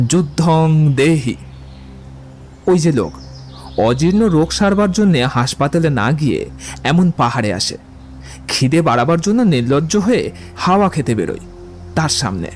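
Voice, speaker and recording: A man's voice: fairly high pitch, a little mellow. A man playing a witty, poetic young romantic in an audio drama, eloquent and playfully theatrical. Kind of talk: dramatised audiobook dialogue acting.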